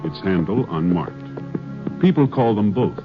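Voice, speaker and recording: A man's voice: fairly low pitch, animated, takes it slowly. Male 1950s radio announcer, formal and emphatic, sweeping intonation, muffled broadcast with music.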